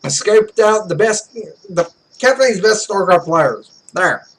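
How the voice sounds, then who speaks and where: A man's voice: high-pitched, expressive, takes it slowly, a little hiss or hum, low-quality recording. A man hosting a let's play, casually reading visual novel text aloud and commenting, often stumbling over the words.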